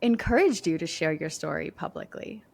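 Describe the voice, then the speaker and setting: A woman's voice: deep voice, expressive, moderate pace, gravelly. A woman hosting a podcast, chatting casually with her guests in an effusive, emphatic way. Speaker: podcast host. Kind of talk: casual interview chat.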